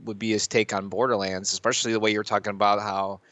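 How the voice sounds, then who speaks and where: A man's voice: on the low side, level tone, fast talker, sharp tone, no echo. A man co-hosting a casual podcast about films, hesitant and repeating himself as he thinks aloud. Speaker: podcast co-host.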